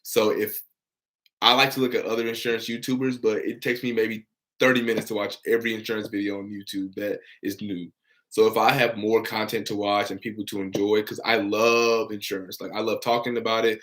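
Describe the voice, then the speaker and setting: A young man hosts a relaxed livestream from home. He reads out viewer comments and answers each one in an upbeat, encouraging way. His voice sits slightly low. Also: a little fast. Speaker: insurance broker and livestream host.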